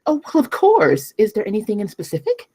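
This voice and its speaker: Woman acting a mellow, lively corporate manager. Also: medium pitch, brisk, breathy edge. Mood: polished, eager. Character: polished corporate woman manager in her forties. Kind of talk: script table read.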